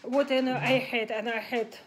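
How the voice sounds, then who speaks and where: A woman in her forties with a Russian accent, casually vlogging at a table, talking in a halting way with many fillers and restarts. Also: a little high, steady tone, slight background noise. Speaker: massage student sharing exam tips. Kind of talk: casual talking-head vlog. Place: indoors at a table in a home room.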